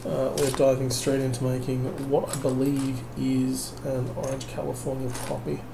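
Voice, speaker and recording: A tired man talks in a loose, self-mocking ramble full of fillers. His voice sits at an ordinary pitch with a gravelly fry. Clear noise hangs behind him in a home recording made at night. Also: flat delivery, moderate pace. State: tired, unable to sleep. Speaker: vlogger. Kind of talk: casual late-night rambling while building a kit.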